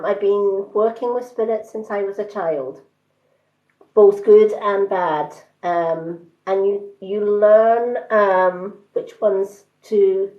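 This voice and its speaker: Slow, calm woman in a roomy space. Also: medium pitch. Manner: calm, soothing delivery. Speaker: live-stream host and psychic card reader. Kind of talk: casual live-stream chat with viewers. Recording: roomy, slightly dull livestream audio.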